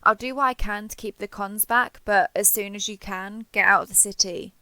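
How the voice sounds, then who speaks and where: A woman's voice: medium pitch, fairly even intonation, moderate pace, sharp tone, dry recording. A woman playing a friendly, chatty giant transforming robot soldier in an audio roleplay, joking and reassuring as she talks to the listener. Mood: urgent, resolute.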